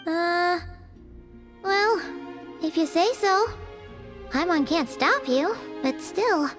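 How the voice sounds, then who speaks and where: A very high, squeaky little fairy, chirpy and chatty, with exclamations that swoop up and down. It is a childlike anime game companion, excitable and eager. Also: female voice, slow, faint music behind, exaggerated voice. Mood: chatty, curious. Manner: refers to self in third person, exclamatory.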